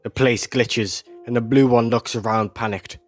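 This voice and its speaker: A man reads scripted video essay narration at a middle pitch. He describes scenes like a storyteller, with music playing faintly behind him. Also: steady tone, normal speed. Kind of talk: scripted narration, recapping an animated series.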